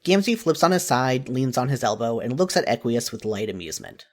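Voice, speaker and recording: Expressive male story narrator, lively pitch. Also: a little fast.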